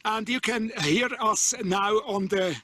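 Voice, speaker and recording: A middle-aged man with a Swiss German accent giving an informal outdoor demonstration as a radio operator, in halting, repetitive English. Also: high voice, pushed and bright. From Switzerland. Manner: halting, repetitive non-native English phrasing. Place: outdoors on an open rocky mountain summit.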